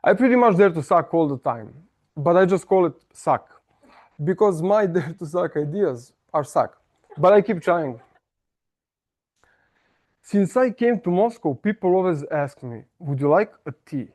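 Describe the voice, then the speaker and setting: A man from Serbia with a Serbian accent, giving a humorous prepared speech at a speaking club in a self-mocking, playful way with halting restarts. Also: sits high, lively intonation, darkish tone. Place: meeting room.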